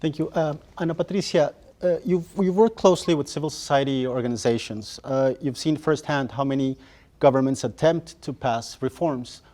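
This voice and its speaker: A man's voice: mid-range voice, normal speed, dark tone. A man moderating a panel, posing questions with hesitant fillers.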